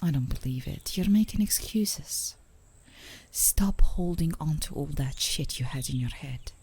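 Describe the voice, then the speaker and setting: A woman playing an affectionate, teasing girlfriend in an intimate ASMR roleplay, speaking softly and soothingly, often in a whisper, close to the microphone. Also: deep voice, animated, measured pace, slight background noise. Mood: gently insistent. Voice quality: soft, breathy, often whispering. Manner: soothing, playfully coaxing, with small chuckles. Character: gently coaxing, teasing, affectionate girlfriend on a park date.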